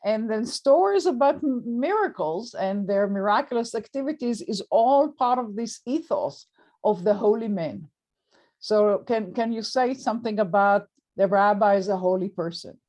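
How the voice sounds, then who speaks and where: A woman's voice: mid-range voice, lively intonation, normal speed, warm tone. A woman moderating an academic discussion, explaining ideas off the cuff and checking in as she goes.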